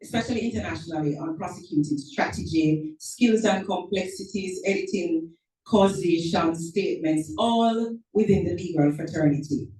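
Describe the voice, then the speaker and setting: Low, mellow woman introducing a speaker. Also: moderate pace. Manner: reads a prepared biography, with small slips and self-corrections. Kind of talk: formal introduction speech. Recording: echoey room from far off.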